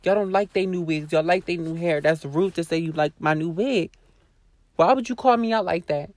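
A woman YouTuber ranting casually to her viewers, sassy and blunt, with a hoarse voice and a lot of cursing. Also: lowish voice, moderate pace, darkish tone, no echo. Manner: sassy, blunt, swears freely, talks straight to viewers. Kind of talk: casual vlog rant to camera.

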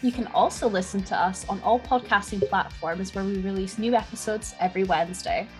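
A woman's voice: medium pitch, brisk. Woman podcast co-host, loose and sweary, faint music behind. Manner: chatty, swears freely, laughs often. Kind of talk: casual chat.

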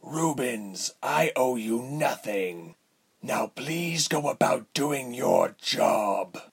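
A man voicing a condescending villain lord in a fantasy story, measured and smooth in manner with a breathy, husky tone. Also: medium pitch, wildly swooping pitch, voice pushed up, full character voice. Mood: dismissive. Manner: measured, silky, haughty.

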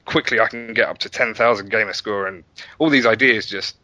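Man, low pitch, very bright forceful tone, casual interview guest, self-deprecating explainer, dry close sound. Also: brisk, dry recording. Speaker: interview guest, website founder and developer.

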